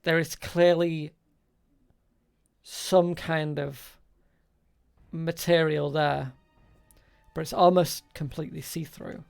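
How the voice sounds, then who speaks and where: A man narrating an improvised tabletop game as game master, halting and pausing between short phrases. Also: fairly high pitch, little pitch movement, vocal fry, clean tone, soft background music.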